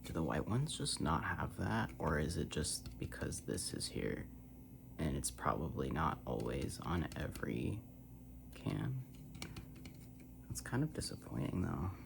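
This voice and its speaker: An American ASMR creator rambling softly and casually in a breathy half-whisper, with a self-deprecating manner, close to the microphone. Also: a bass voice, very animated, unhurried, noisy background. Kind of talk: soft-spoken ASMR rambling. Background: tapping and scratching on cans.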